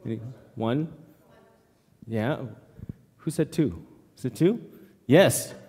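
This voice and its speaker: A man missionary giving a church presentation, lively and joking with the audience. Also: medium pitch, takes it slowly, husky, long reverb tail. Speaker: missionary speaker.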